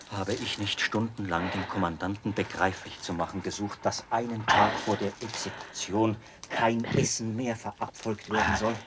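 An actor playing a zealous, pedantic execution officer in a radio drama, explaining with precise, emphatic delivery. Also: male voice, on the low side, heavy background noise, natural voice. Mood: indignant. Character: zealous male execution officer, pedantic, devoted to his machine.

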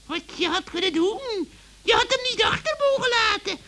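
A woman voicing a scornful, scolding witch with a shrill voice in a children's radio play. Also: lively intonation, moderate pace, a crowd in the background. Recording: old hissy radio-play recording.

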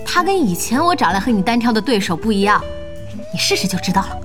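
An actress playing a feisty, bossy young internet cafe boss in an audio drama, scolding and teasing with lots of exclamation. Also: female voice, medium pitch, very animated, quick pace, over music, lightly acted. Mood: chatty. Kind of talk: Chinese audio drama acting.

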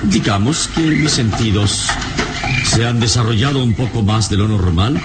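A man playing a wise, calm, noble adventurer in an old radio serial, speaking in a measured, courteous, instructive way. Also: medium pitch, very noisy, lightly acted. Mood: serene, philosophical. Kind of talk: old Latin American radio serial acting.

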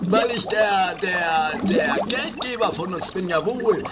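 A man's voice: high voice, takes it slowly, loud music behind the voice. An actor playing a self-important, aggrieved man who whines pompously in an amateur absurd comedy radio play.

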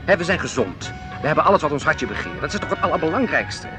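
An actor playing a jocular, complacent married shopkeeper in a radio play. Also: male voice, fairly high pitch, fast talker, over music. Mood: placating. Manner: animated, placating, teasing.

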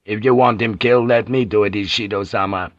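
A man's voice: on the low side, steady tone, brisk, no echo, dull audio, natural voice. A man voicing a wily Japanese lord, urgently persuasive and conspiratorial. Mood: deferential, pressing.

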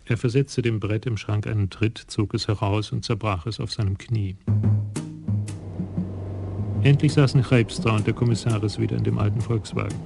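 A man narrating a German crime radio play in a calm, measured way. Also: fairly low pitch, vocal fry, faint music behind.

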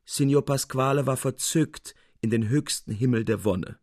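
Expressive male literary narrator, dry sound. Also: mid-range voice, no room sound. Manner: expressive, measured storytelling. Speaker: audiobook narrator.

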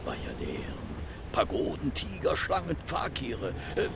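An actor playing a nervous, scheming detective in a radio play, hesitant, insistent and apt to repeat himself. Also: male voice, fairly high pitch, big pitch swings, unhurried, vocal fry, very noisy, full character voice. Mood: flustered, evasive. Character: nervous, scheming male detective trailing an English gentleman.

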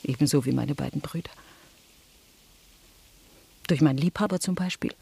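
An actress playing a bitter, vengeful French noblewoman in a radio drama, intense and husky, with creaks in her voice. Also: deep voice, moderate pace, dark tone, slight background noise.

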